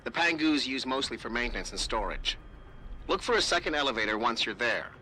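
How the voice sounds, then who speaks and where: An actor playing a fussy tech expert briefing an agent in a video game, precise and dry. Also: male voice, medium pitch, big pitch swings, brisk, pushed and bright, slight background noise, poor audio.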